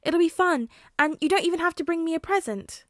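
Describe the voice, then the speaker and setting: A woman voicing an excitable little boy who chatters eagerly and gushes. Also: brisk, no echo, studio quality. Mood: excited. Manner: breathless, gushing, high-pitched.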